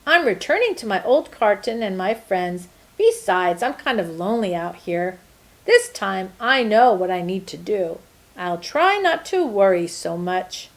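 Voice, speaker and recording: A woman voicing an earnest, eager-to-please good egg in an expressive, emphatic children's storybook telling. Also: mid-range voice, measured pace. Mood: relieved, hopeful. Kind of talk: first-person children's storybook narration.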